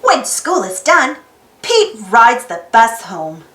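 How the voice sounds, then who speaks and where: A woman narrating a children's storybook aloud in an expressive, sing-song way for young listeners. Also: fairly high pitch, takes it slowly. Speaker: storybook reader and channel host. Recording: roomy echo with faint music. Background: faint music.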